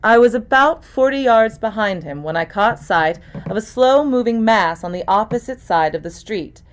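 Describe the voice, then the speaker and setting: A woman's voice: on the high side, lively intonation, normal speed. A woman actor reading scripted first-person mystery narration, as for an audiobook.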